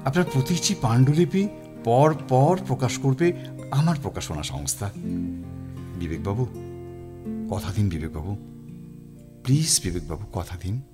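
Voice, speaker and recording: A man playing an anguished, helpless older publisher in an audio drama, pleading and apologetic in a theatrical, halting way. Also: mid-range voice, wildly swooping pitch, music in the background. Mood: effusive, coaxing. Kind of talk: Bengali audio drama acting.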